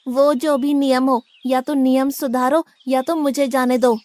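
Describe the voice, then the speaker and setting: Woman voicing a high-pitched, stubborn village lad. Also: fairly even intonation, measured pace, a little mellow. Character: naive, big-eating, stubborn young village lad Adhaiya. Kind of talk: animated moral story dialogue in Hindi.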